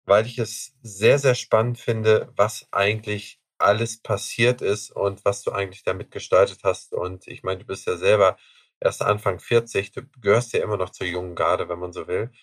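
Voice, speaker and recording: Man, podcast host, low voice, enthusiastic, conversational, dry close sound. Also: steady tone, normal speed, dry recording. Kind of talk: podcast interview.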